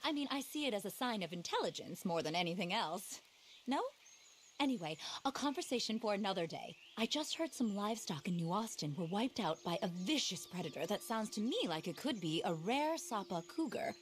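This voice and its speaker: A woman's voice: medium pitch, very animated, fairly quick, slight background noise, natural voice. A woman acting an eccentric, passionate, animal-loving naturalist in a video game, theatrical, earnest and a little scattered. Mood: musing, earnest. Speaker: quest-giving wildlife specialist in a western game.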